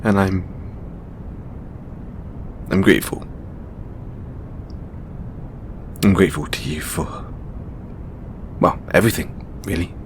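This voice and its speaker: A man playing an affectionate, stressed god and boyfriend in an intimate audio roleplay, speaking in a halting, softly playful way. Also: sits low, slight background noise. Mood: tender, affectionate.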